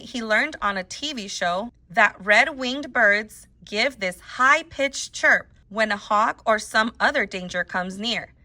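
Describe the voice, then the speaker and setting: Female narrator for children's audiobook, bright forceful voice, very animated delivery, emphatic storytelling. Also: mid-range voice. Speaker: teacher reading a book aloud.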